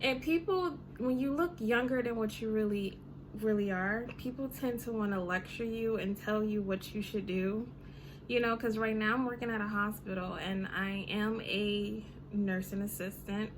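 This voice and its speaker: A woman's voice: medium pitch, fairly even intonation, moderate pace, noisy background. A woman in her thirties ranting casually to her vlog audience, chatty and full of "you know" and "um". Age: 30s. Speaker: vlogger. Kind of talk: casual rant to camera.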